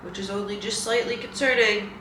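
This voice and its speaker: A young woman talks in a casual, self-deprecating vlog style, her nose audibly stuffed up. Her voice sits at a middling pitch. She is picked up from a distance in an echoey room with loud noise around her. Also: steady tone, brisk. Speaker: vlogger. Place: indoors at home, in a kitchen.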